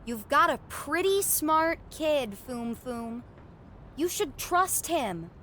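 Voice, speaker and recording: A woman's voice: sits high, expressive, a bit bright, slight background noise, full character voice. A woman playing a determined, emotional young girl adventurer in a family audio drama, earnest and dramatic. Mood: friendly. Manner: earnest, dramatic, halting when upset.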